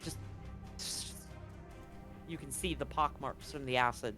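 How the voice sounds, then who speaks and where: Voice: mid-range voice, measured pace, bright, forceful tone, faint music behind. A game master narrating a live-streamed tabletop roleplaying game in an informal way, with casual swearing.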